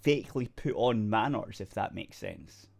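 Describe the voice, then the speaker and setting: Very deep-voiced laid-back woman. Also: animated, moderate pace, creaky, darkish tone. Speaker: podcast co-host. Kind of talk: casual chat.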